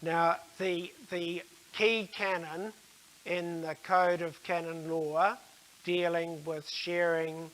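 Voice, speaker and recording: Slow, even-toned New man lecturing. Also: on the high side, low-quality recording. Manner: measured, halting, with frequent mid-sentence pauses. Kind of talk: explanatory religious talk.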